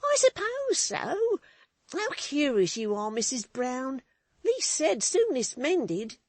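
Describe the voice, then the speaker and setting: A reader voicing a nervous young male servant in an audiobook, with a high, hushed, evasive manner. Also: huge pitch swings, takes it slowly, no room sound, exaggerated voice. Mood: flustered. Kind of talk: audiobook character voice.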